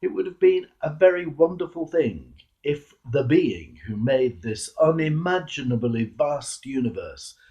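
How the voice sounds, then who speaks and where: An older man with a British accent, a clergyman reading a homily aloud in a measured, earnest way with deliberate pauses and emphasis. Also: a little high, expressive, dark tone, muffled recording.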